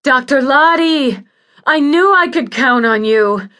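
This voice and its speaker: A woman's voice: mid-range voice, sing-song, unhurried, a bit bright, voice pushed up. A woman voicing an agitated, foul-mouthed female patient in an audiobook, bitter, demanding and in pain. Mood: desperate pleading. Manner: pleading, snarling, accusatory. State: in pain, desperate. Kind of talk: audiobook character acting.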